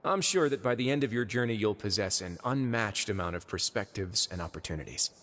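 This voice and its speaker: An actor playing a calm, shrewd young duke who runs a prison, smooth, measured and politely teasing. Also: male voice, lowish voice. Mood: courteous. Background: game background music.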